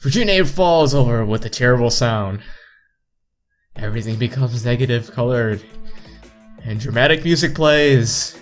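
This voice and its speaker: A man's voice: medium pitch, lively intonation, unhurried, long pauses. A man reading a visual novel aloud casually, playfully stumbling over words and adding side comments. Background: visual novel background music.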